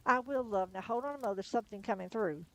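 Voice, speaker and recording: A woman's voice: mid-range voice, quick pace, close to an everyday voice, low-quality recording. A woman reading aloud, voicing a middle-aged female medium with her clients. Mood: soothing. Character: fake middle-aged female medium, soothing then coldly angry.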